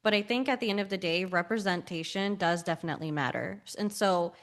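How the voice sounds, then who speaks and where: A woman hosting an interview, earnest and warm toward her guest, with many fillers. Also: medium pitch, a little fast. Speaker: podcast host.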